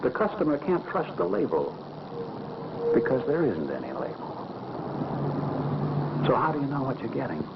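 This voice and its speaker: An actor playing a smug, sinister, seductive LSD tablet, narrating theatrically in the style of a 1960s educational film. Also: male voice, medium pitch, wildly swooping pitch, creaky, very noisy. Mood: smug, boastful. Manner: theatrical, boastful, deliberate. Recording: dull vintage film soundtrack.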